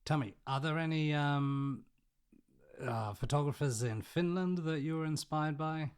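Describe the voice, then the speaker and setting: Podcast host guy, fairly high voice, short plain questions. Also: a little slow, clear, resonant tone. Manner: reads sponsor script, asks short questions. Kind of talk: podcast interview.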